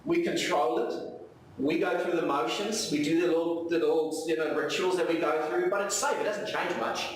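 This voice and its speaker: A South African man, native in Afrikaans, delivers an earnest sermon in a fairly high voice. He repeats phrases and poses questions to his listeners. The sound is heavily reverberant and picked up from some distance, with faint noise. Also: moderate pace. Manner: earnest, rhetorical repetition and questions. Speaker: preacher. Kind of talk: sermon with reflective readings. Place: church hall, live service. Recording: very echoey room, distant mic, faint noise.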